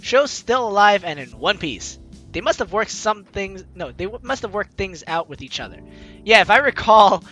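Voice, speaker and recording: A gaming host reading a visual novel aloud and reacting with expressive, ad-libbed commentary. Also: mid-range voice, normal speed, faint music behind. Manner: exclaims and voices dialogue expressively. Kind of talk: playthrough narration with animated ad-libbed reactions.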